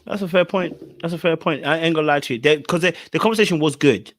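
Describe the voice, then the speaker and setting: A man's voice: a little high, quick pace, a bit bright. A young man from Ghana hosting a casual podcast about a reality dating show, chatty and laid-back, laughing easily. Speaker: podcast host.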